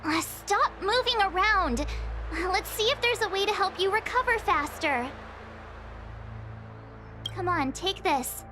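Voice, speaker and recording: A woman's voice: very high voice, animated, measured pace, long pauses, pushed and bright, exaggerated voice. An actress playing a caring young girl adventurer in a video game, talking in a casual, coaxing way. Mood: concerned, coaxing. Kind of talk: scripted video game dialogue. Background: music.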